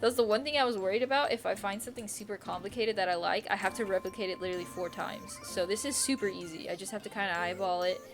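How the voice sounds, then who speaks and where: A woman chats casually as she streams a game, with music playing faintly behind her. Her voice sits at an average pitch for a woman. She thinks out loud in a rambling, indecisive way, circling back over her own phrases. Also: steady tone, quick pace, few pauses. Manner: rambling, indecisive, repeats herself, mild swearing. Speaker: live streamer, host. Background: quiet music.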